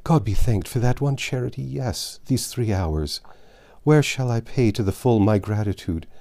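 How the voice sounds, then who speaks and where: A man's voice: medium pitch, animated, normal speed, gravelly, mellow. Casting: male narrator as gaunt dying peasant wife, storybook tale, archaic and fervent, full of bitter grief. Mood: grieving relief. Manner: impassioned archaic speech.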